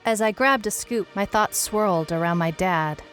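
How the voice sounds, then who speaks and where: A woman's voice: medium pitch, normal speed, fairly bright tone, soft background music, lightly acted. An actress playing a wry, skeptical private detective, narrating her mystery story in a dry, musing first-person way. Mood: puzzled, uneasy. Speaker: narrator and lead character of an audio mystery serial.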